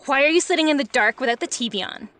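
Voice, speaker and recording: A woman playing a chatty, fussing wife and mother in a radio play, breezy and expressive. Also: high-pitched, fast talker. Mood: fretting, annoyed.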